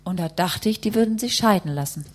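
A woman's voice: mid-range voice, lively intonation, a little fast. A woman working as a live interpreter, rendering a testimony phrase by phrase and rewording as she goes.

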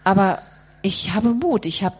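A woman's voice: mid-range voice, expressive, a little slow. A woman working as a live interpreter, rendering a testimony phrase by phrase and rewording as she goes.

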